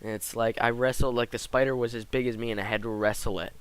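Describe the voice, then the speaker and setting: A teenage boy giving casual commentary over gameplay, slightly hoarse from a cold, rambling with lots of fillers. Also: medium pitch, steady tone, fast talker. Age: teens. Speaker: gaming commentator.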